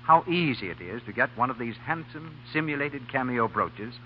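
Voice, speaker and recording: A man's voice: medium pitch, expressive, normal speed. A man announcing on 1940s radio in a formal, courteous, scripted style. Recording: muffled, noisy 1940 radio broadcast.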